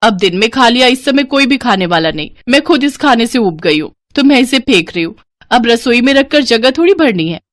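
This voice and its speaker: A woman's voice: a little high, expressive, a little fast, voice pushed up, close to an everyday voice. A woman voicing a spoiled, wasteful young wife who answers back dismissively. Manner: dismissive, raised voice.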